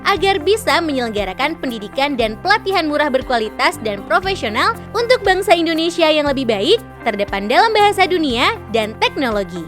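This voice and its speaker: A woman's voice: high-pitched, very animated, brisk, hardly any pauses, a bit bright, faint music behind. A woman reading formal scripted promotional narration. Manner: smooth, formal read.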